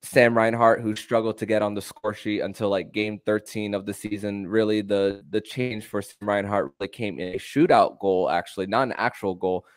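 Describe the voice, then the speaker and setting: A man hosting a sports podcast, talking in a halting way with repeated and drawn-out words. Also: lowish voice, steady tone, clear, resonant tone, no echo. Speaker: podcast host.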